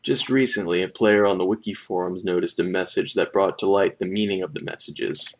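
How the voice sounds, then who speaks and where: A man narrates a scripted creepypasta in a low-pitched voice. His delivery has a noticeable gravelly fry, and there is faint noise in the recording. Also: a little fast. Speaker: horror story narrator.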